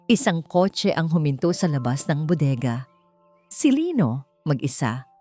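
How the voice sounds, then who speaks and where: Woman storyteller reading a love drama, kinda low voice, faint music behind. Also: sing-song, measured pace, darkish tone. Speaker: story narrator. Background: background music.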